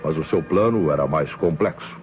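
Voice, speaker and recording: An actor playing a shrewd, self-assured police inspector in an old Brazilian radio mystery, speaking emphatically and with some pomp in a Brazilian accent. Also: male voice, very deep voice, moderate pace. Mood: insistent, triumphant. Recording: muffled old radio recording, music behind.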